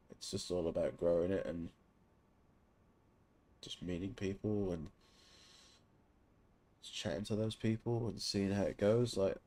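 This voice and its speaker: English bloke, deep voice, live streamer just chatting away while he games, bit cheeky and sweary. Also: darkish tone, husky. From England. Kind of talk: casual chat while gaming on a livestream.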